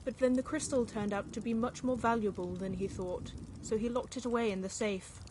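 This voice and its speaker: A woman's voice: a little high, steady tone, fairly quick, background noise, natural voice. A woman playing a chatty manor informant in a video game, explaining things in a casual, gossipy way. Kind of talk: scripted game character acting.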